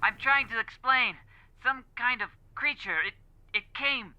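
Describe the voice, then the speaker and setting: A man's voice: very high-pitched, measured pace, bright, forceful tone, smooth, full character voice. A man playing a panicked employee on a tinny phone line. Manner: panicked, stammering. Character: terrified, stammering male office employee on a payphone. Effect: telephone filter.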